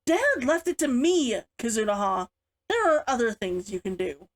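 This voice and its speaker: A woman's voice: a little high, sing-song, moderate pace. A woman reading the part of a stern, exasperated elder sister and dutiful matriarch in a storybook, in a scolding manner. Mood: pleading. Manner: growling, muttering, scolding.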